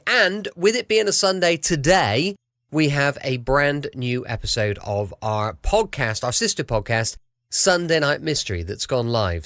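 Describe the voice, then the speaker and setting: British man, casual podcast host, animated intonation, dry recording. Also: a little high, dry recording. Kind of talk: casual chatty show introduction. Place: at home.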